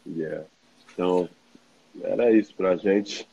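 A man's voice: low voice, slow, gravelly, mellow, husky. A man from New York with an American accent, chatting casually in hesitant, slightly broken phrasing. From New York, United States. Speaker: guest, basketball player. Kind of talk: casual chat. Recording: dull, lo-fi call audio.

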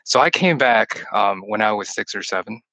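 Brief: man from Texas, Chinese first language, rambling guest, bright and dry. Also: on the low side, animated, moderate pace, no echo, low-quality recording. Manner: long-winded, rambling, with many restarts. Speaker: podcast guest, returned missionary. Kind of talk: casual chat over a call. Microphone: call-in line.

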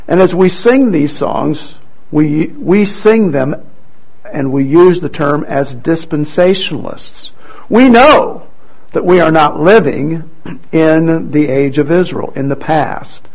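A man leading a church service, explaining things deliberately to a congregation over a microphone. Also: fairly high pitch, highs cut off. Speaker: church service leader introducing readers. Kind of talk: addressing a congregation, explanatory announcements.

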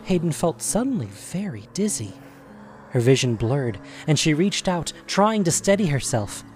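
A man's voice: on the high side, big pitch swings, normal speed, darkish tone, a little hiss or hum. A man narrating an audio drama, reading scripted prose in a dramatic storytelling style.

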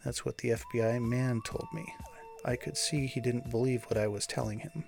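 A man's voice: medium pitch, fairly even intonation, normal speed, vocal fry, lightly acted. A man voicing an anxious, tender woman, the emotional and earnest heroine of an adventure story. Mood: tender, relieved.

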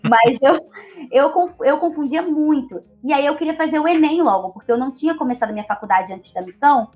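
A Brazilian woman in her twenties, a guest telling her own story in a relaxed, chatty way. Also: sits high, brisk, faint music behind. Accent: Brazilian Portuguese. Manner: chatty, leans on tag questions. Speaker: interview guest. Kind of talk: casual personal storytelling.

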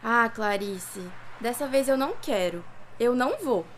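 A woman's voice: fairly high pitch, animated, measured pace, slight background noise. A woman playing a reluctant, weary schoolgirl friend in a radio drama, with a Brazilian accent. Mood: weary refusal.

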